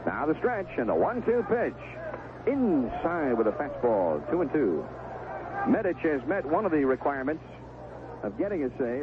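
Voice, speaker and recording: A man giving live 1970s radio play-by-play of a baseball game, describing each pitch in short, clipped phrases. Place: outdoor ballpark broadcast booth. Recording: noisy, tinny narrowband vintage radio broadcast.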